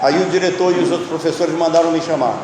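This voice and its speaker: A man's voice: a little high, steady tone, fast talker, background noise. A man preaching a teaching sermon, often asking the listeners questions. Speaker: pastor and Bible teacher. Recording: echoey hall with long reverb.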